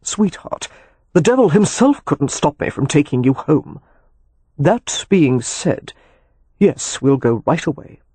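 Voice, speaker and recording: A narrator voicing a rakish, affectionate gentleman in an audiobook, with a nonchalant, teasing, dry manner. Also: mid-range voice, very animated, takes it slowly, husky, no echo, exaggerated voice. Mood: fond, decisive. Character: Leo, wry, rakish, affectionate gentleman brother. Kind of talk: audiobook character dialogue.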